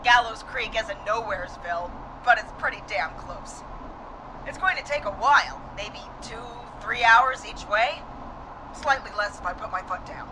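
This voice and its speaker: A woman's voice: medium pitch, lively intonation, moderate pace, background noise, close to an everyday voice. An actress playing a brave but frightened small-town woman dispatcher on the run in a video game. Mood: relieved, determined.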